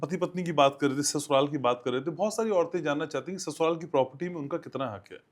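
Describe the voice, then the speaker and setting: A man's voice: on the high side, quick pace. A man hosting a podcast interview, putting long, detailed questions to a guest. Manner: frequent short acknowledgements, long detailed questions. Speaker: podcast host.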